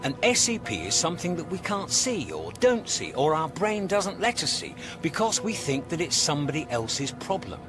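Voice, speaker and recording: A man's voice: a little high, big pitch swings, normal speed, few pauses, over music. An actor playing a breezy, streetwise alien traveller in a radio comedy, wry, emphatic and impatient with his human friend. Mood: exasperated, excited. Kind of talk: radio comedy drama acting.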